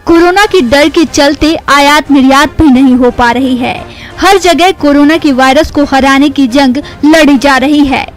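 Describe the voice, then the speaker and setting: A woman's voice: high-pitched, unhurried, few pauses. A woman reading scripted news narration as a voice-over. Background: faint background music.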